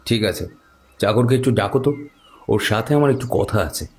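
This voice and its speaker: A man acting a calm, authoritative tantric holy man in a horror audio drama, giving instructions. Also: mid-range voice, normal speed, voice pushed up, natural voice. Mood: calm, instructing.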